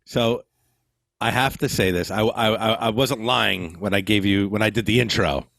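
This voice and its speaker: A man's voice: on the low side, normal speed, vocal fry, a bit bright, dry recording. An American man co-hosting a podcast, chatting casually in a joking, wry way. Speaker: podcast co-host. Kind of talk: casual chat.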